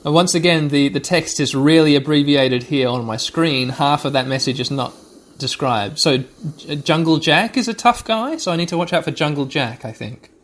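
A man's voice: a little high, normal speed. A man hosting a podcast, giving chatty, wry running commentary as he plays a video game for listeners. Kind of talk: narrating gameplay to listeners.

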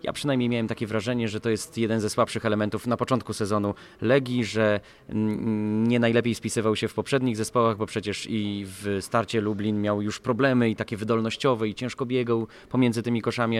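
A man hosts a sports podcast. He talks conversationally and at length, often reaching for the wording. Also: lowish voice. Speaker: podcast host.

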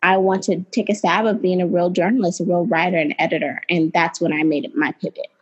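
A woman's voice: fairly low pitch, fairly even intonation, brisk, husky. Earnest woman guest on dull lo-fi audio. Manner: earnest, reflective, with fillers. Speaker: guest, writer and editor. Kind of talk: casual interview talk.

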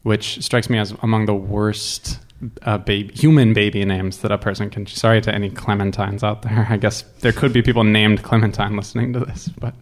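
A man's voice: fairly low pitch, flat delivery, fairly quick. A man talking casually as a guest, telling personal stories in a wry, self-deprecating way. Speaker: guest storyteller.

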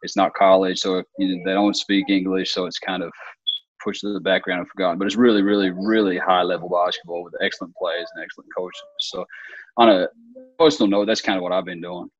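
A man's voice: sits low, steady tone, brisk, no echo, lo-fi sound. A man, a basketball coach talking steadily in an interview and repeating words for emphasis. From Australia. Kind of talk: casual interview talk.

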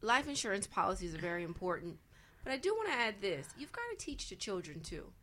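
A woman's voice: mid-range voice, big pitch swings, fast talker, crowd noise. A woman in a conversational panel talk, speaking earnestly and insistently in short, repeated phrases. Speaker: real estate professional, guest panelist.

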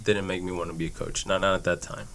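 A man's voice: low voice, little pitch movement, fast, a bit bright. A young man from Houston answering casually as an interview guest, in a reflective, informal way. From Houston, Texas. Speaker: youth soccer coach, podcast guest.

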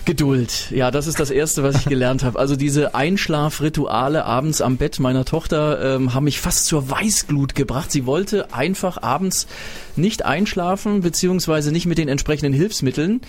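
A man's voice: a little high, moderate pace. A man from Berlin, a radio presenter, chatting as a studio guest and telling stories with many fillers. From Germany, Berlin. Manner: chatty, anecdotal, with frequent fillers. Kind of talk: casual radio interview.

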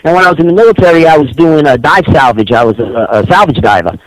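An older man from Rhode Island talks over a computer phone line. He tells his story in a relaxed, easygoing way and drops casual curses. His voice sits a little high and sounds dry. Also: fast, no room sound. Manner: laid-back storyteller, swears casually. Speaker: guest caller. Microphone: computer phone call.

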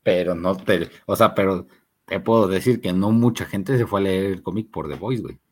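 A man's voice: low-pitched, normal speed, a little mellow. A young man with a Mexican Spanish accent talking about films in casual podcast chat, slangy and meandering with hesitant fillers. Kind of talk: casual chat, film discussion.

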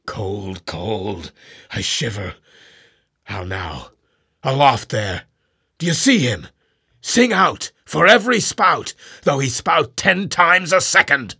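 A man voicing a grim, imperious old sea captain who swings from booming orders to hushed brooding. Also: lively intonation, slow pace, vocal fry, slightly rough, no room sound, clean studio sound, heavily acted. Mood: defiant. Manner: stern, booming commands then hushed brooding.

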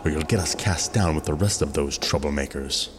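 An actor playing a stern, proud archangel warrior with a solemn, commanding manner in an audio drama. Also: male voice, extremely low pitch, a little fast, faint music behind. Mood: wry.

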